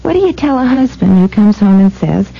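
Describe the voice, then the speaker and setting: A woman plays a practical housewife chatting through a radio commercial. Her voice is mid-pitched, with music clearly behind it and the muffled sound of an old broadcast. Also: animated, a little fast, warm tone. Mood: friendly, confiding. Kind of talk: 1970s radio advert read.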